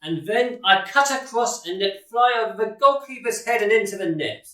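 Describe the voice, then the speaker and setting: A woman's voice: mid-range voice, animated, normal speed, bright, forceful tone. A woman acting a vain, cocky footballer in a radio play, defensive and dismissive in manner. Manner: dismissive, stubborn. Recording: roomy, distant microphone.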